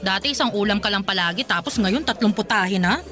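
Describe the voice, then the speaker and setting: An actress playing a feisty, touchy young market food vendor in a Filipino radio romance, bantering expressively. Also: female voice, mid-range voice, brisk, a bit bright, soft background music, lightly acted. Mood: testy teasing.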